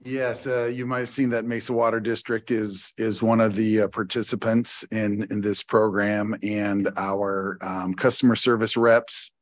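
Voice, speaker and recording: A man answering as a water district representative at a public meeting, measured and hesitant. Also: fairly low pitch, little pitch movement.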